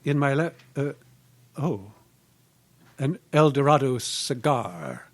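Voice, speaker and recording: A man's voice: mid-range voice, expressive, slow, no room sound. A man playing an indignant, threatening middle-aged father cornered as a murderer, in old-time radio melodrama style. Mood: menacing.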